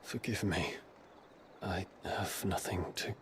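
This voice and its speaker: A man acting a brooding, guilt-ridden hero in a fantasy video game, speaking in a solemn, deliberate way. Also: sits low, fairly even intonation, slight background noise. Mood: apologetic, gentle.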